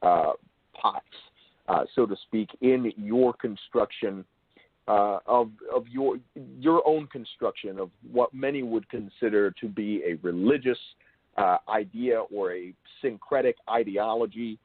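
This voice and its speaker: A man with a lowish voice hosts an interview over a thin, phone-like connection. He speaks in a halting, bookish way, building long and wordy questions. The sound is dry, with little room to it. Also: dry recording. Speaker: interviewer and show host. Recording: thin phone-like call audio.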